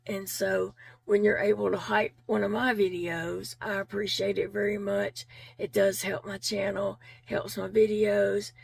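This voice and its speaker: A woman vlogger with a raspy voice that she is losing, talking earnestly and gratefully to her viewers in a casual chat, repeating herself with fillers. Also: medium pitch, darkish tone, a little hiss or hum.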